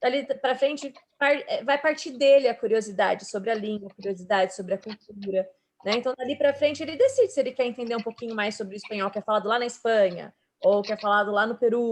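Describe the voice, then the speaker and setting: A woman's voice: on the high side, fairly quick. A woman from São Paulo with a São Paulo accent, a teacher speaking casually on a video call, thinking aloud with many fillers. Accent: Brazilian Portuguese, São Paulo. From São Paulo, Brazil. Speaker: panelist, Portuguese teacher.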